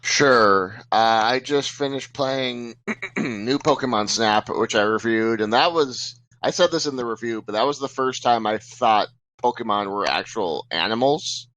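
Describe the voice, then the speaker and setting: A man with a medium-pitched voice talks casually on a panel. His delivery is plain and measured, like someone giving an informal opinion among colleagues. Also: a bit bright, low-quality recording. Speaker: podcast panelist. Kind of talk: casual, measured gaming chat.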